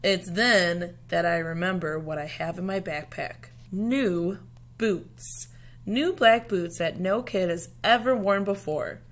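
A woman reading a children's picture book aloud like a teacher, with deliberate, dramatic phrasing. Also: lowish voice.

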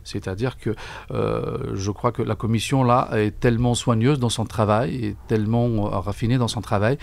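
A middle-aged man giving formal, deliberate answers in a radio interview, often repeating words as he searches for the next. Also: fairly low pitch, steady tone, vocal fry. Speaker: book fair coordinator, interview guest. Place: radio studio. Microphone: broadcast microphone on desk stand.

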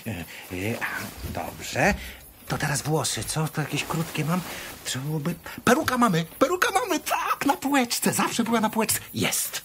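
An actor playing a curious, excitable young boy in a children's musical radio play, chatting and muttering to himself. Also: medium pitch, measured pace, a little hiss or hum. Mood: excited, calling. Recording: music behind the voice.

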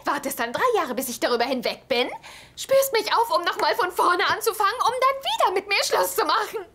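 A woman's voice: very high voice, brisk, full character voice. An actress dubbing a hurt young woman who speaks with emphatic indignation. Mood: indignant. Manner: expressive, emphatic. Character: young woman, sweet then hurt and sharp. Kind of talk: German dub of a sitcom.

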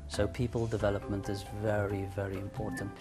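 A man's voice: on the low side, level tone, a little fast, over music. A man giving interview answers for a promotional film.

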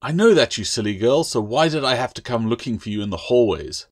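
A man's voice: medium pitch, animated, a little fast, no echo, studio quality, pitched above the performer's own voice, lightly acted. A man voicing a harsh, impatient schoolmistress in a fantasy storybook, curt and scolding.

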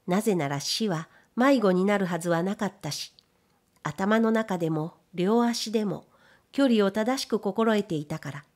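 A woman narrates an audiobook in a mid-pitched voice. Her delivery is measured and literary, shaped as careful storytelling from a script.

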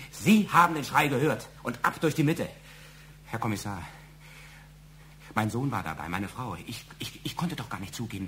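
An actor plays a flustered male suspect in a radio crime play. He stammers, repeats himself and sounds both fearful and indignant, at a middling pitch. There is clear noise in the recording. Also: close to an everyday voice. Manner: halting, stammering, repeats words. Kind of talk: German audio drama acting.

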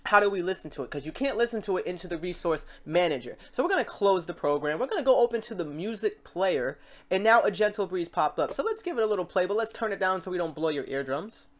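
Chatty woman giving a software tutorial. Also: fairly low pitch, lively intonation, fast. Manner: chatty, rambling, self-correcting. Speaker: tutorial host. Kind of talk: informal screen-recorded software tutorial.

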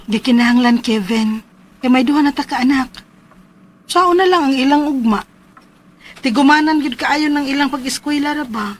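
A woman's voice: sits high, moderate pace, pauses often, lightly acted. An actress playing a firm, worried thirty-two-year-old mother in a Cebuano radio drama. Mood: firm, admonishing.

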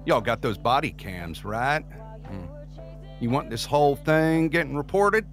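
An actor playing a blunt, protective country music star father, folksy and forceful, in an audio drama. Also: medium pitch, lively intonation, a little slow, close to an everyday voice. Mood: defiant, firm.

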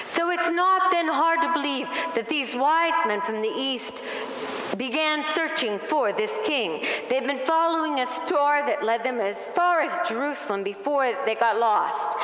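Slow, measured woman preaching in a church. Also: on the high side, fairly bright tone. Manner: earnest, measured preaching with emphatic rises. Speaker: pastor. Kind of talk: sermon. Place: large wood-paneled church sanctuary. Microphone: pulpit microphone, filmed by a far camera. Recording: echoey church hall from afar.

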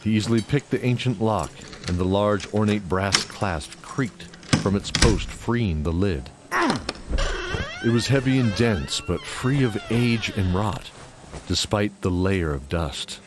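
A man's voice: lowish voice, lively intonation, unhurried. A man narrating a scripted fantasy audio drama. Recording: clear background noise and ambience.

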